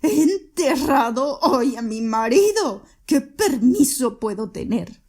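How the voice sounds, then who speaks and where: A woman putting on a shrill desperate widow, pleading, high and frantic. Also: expressive, unhurried, mellow. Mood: distraught. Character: frantic grieving widowed mother, feverish and proud.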